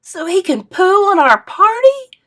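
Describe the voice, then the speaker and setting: A woman's voice: extremely high pitch, sing-song, a little slow, a bit bright, pitched above the performer's own voice, full character voice. A woman reading aloud and voicing a spunky, playful elderly grandmother who talks in a sassy, folksy way.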